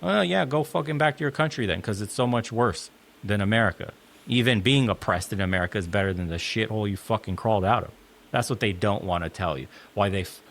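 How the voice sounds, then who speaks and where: A man's voice: fairly low pitch, a bit bright. A man in a clip being reacted to, ranting his opinions bluntly, emphatically and with constant swearing.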